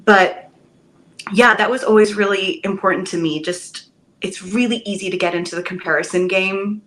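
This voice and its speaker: A woman, a Broadway actress, chatting as a podcast guest, telling stories with lively enthusiasm and the odd casual swear word. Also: medium pitch, normal speed, rough recording. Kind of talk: casual podcast interview chat.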